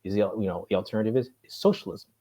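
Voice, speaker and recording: A man from the United States, a podcast guest, talking politics in a steady, lecturing way and asking rhetorical questions. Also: fairly low pitch, rapid-fire, husky. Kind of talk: earnest political discussion.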